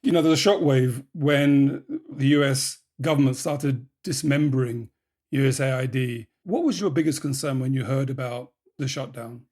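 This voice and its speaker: A man hosting a podcast, reading scripted narration in a measured way and putting questions to a guest. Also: medium pitch, a little mellow. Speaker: podcast host and editor.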